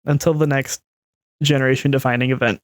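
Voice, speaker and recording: Very low-voiced young woman chatting. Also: steady tone, fairly quick, no echo. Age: 20s. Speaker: podcast co-host. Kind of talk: casual discussion.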